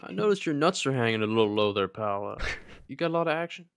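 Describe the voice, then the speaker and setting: A man's voice: medium pitch, very animated, fast talker, creaky, clear, resonant tone. A man voicing a crude, joking traffic cop in a mock-official, teasing manner. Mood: mock-stern.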